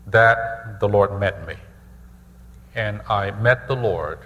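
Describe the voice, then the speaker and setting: A middle-aged man from Hong Kong preaching a testimony sermon, measured and repeating phrases for emphasis. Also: on the low side, fairly bright tone, in a large hall. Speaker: pastor.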